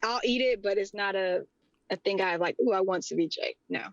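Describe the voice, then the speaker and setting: A woman's voice: medium pitch, moderate pace, vocal fry, no echo. Upbeat young woman guest, casual and chatty, recorded dry with dull audio. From Texas and Rhode Island. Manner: upbeat, chatty, laughs often. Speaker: guest, tea business co-owner. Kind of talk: casual interview talk.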